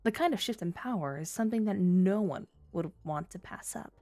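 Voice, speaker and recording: A woman's voice: medium pitch, expressive, brisk, darkish tone, natural voice. Woman acting a flirty, teasing underworld schemer over music. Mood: bargaining, commanding. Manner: teasing, coy, mock-sweet purring. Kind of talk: flirty ASMR roleplay audio drama.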